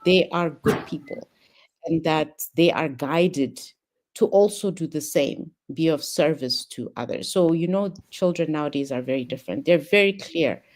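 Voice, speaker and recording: A low-pitched, middle-aged woman from Ethiopia shares her personal story as a panel guest. She speaks thoughtfully, pausing with ums and restarting phrases. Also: a little mellow.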